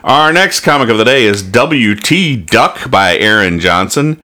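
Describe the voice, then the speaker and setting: Animated, bright-voiced host with swooping pitch. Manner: animated, joking, halting restarts as thoughts form. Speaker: podcast host. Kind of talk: casual comic-strip commentary chat.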